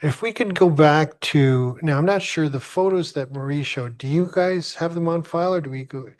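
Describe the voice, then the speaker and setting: Polite mayor chairing a council meeting. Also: male voice, on the high side, darkish tone. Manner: halting, searching for words, polite. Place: council chamber.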